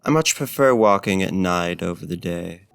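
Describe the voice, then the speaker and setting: Guy doing a deep gravelly vampire gentleman, asmr roleplay, super polite n flirty, faintly menacing. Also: expressive, moderate pace. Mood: coaxing, menacing. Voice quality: low, gravelly. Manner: formal, archaic, courteous and flirtatious phrasing. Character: courtly male vampire host, smooth, gently menacing and seductive. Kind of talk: ASMR roleplay, slow and intimate.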